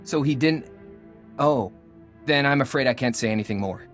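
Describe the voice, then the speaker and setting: A dutiful male lieutenant held prisoner, voiced by an actor for a video game. His voice sits at an average pitch and sounds earnest and guarded. Music plays clearly behind him. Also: moderate pace, lightly acted.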